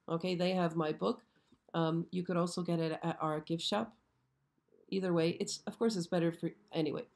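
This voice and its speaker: A hoarse woman hosting a casual live stream, chatty and often checking in with her viewers. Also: lowish voice, a little mellow. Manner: chatty, frequently checks in with "okay?". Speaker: show host. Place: show set.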